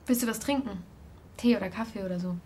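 An actress as a reserved young woman in a radio play. Her medium-pitched voice is terse and weary yet obliging. A faint ambience runs behind her. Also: fast, warm tone, slight background noise, lightly acted. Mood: reserved, tired. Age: young adult. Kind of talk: naturalistic radio drama dialogue.

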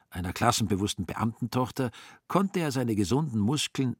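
A man's voice: medium pitch, slightly rough, no room sound. A man narrating a literary radio drama as a scripted storyteller.

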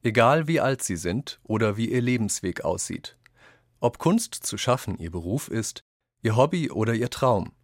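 A man from reads quoted texts for a radio essay, deliberately and with clear articulation. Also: fairly low pitch, dry recording, studio quality. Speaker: reader of quotations in a radio essay. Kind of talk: scripted reading of quoted texts.

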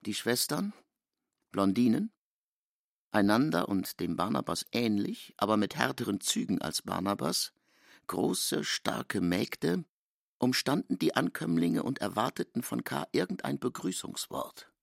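Male narrator, heavy vocal fry, animated pitch, literary narration, dry sound. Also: measured pace, no echo.

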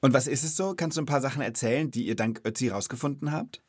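A man's voice: mid-range voice, fast, a little mellow, husky, dry recording, studio quality. A man hosting a children's knowledge podcast, chatting and explaining in a casual, enthusiastic, colloquial way.

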